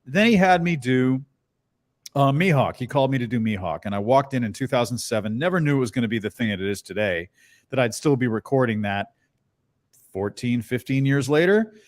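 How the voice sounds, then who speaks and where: Animated middle-aged man, bass-baritone voice. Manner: animated storyteller, tosses in quick character voices. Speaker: voice actor guest. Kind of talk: casual interview chat.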